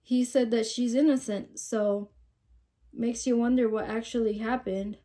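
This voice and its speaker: A woman's voice: fairly high pitch, fairly even intonation, a little slow, mellow. A sick woman with a stuffed nose, sniffling as she gives casual true-crime commentary, reading news quotes and asking viewers questions. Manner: chatty, asks viewers questions.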